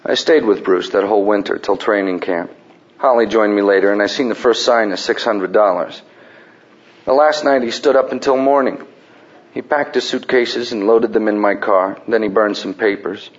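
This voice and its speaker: An actor playing a wry, dry-witted ballplayer who also narrates, in deadpan, formal phrasing. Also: male voice, fairly low pitch, moderate pace, muffled recording, natural voice. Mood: narrating. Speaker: narrator and character. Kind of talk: radio drama acting.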